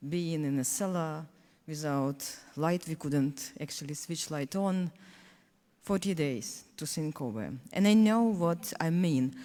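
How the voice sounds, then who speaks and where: Ukrainian woman, low warm voice, reverberant hall. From Ukraine (Donetsk). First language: Russian. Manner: earnest, halting non-native English with many restarts. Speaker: panelist. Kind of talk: panel discussion speech.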